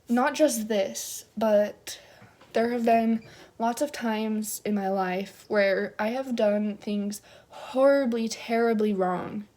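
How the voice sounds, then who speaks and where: A young woman on a fake-sincere apology, drawn out and unhurried. Her voice sits a little high and sounds overly earnest and mock-tearful. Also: dark tone. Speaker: youtuber. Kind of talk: parody apology video.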